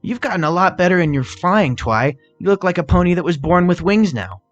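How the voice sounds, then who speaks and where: Guy doing a sporty girl pegasus, high voice, shy and fond, pony story with music. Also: animated, brisk, dull audio. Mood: admiring. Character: athletic female pegasus pony, bashfully affectionate.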